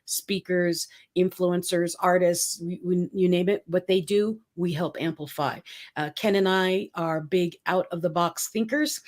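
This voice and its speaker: An energetic woman from the Philippines with a somewhat low voice. She co-hosts a casual show and chats in an upbeat, promotional way. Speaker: podcast co-host. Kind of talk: casual chat.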